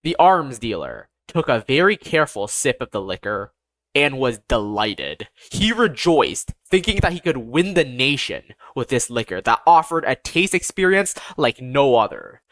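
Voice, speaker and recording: Casting: androgynous fantasy audiobook reader, measured but expressive delivery with wide melodic swings, recorded dry. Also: mid-range voice, no echo. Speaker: audiobook narrator. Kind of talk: scripted first-person fantasy story narration.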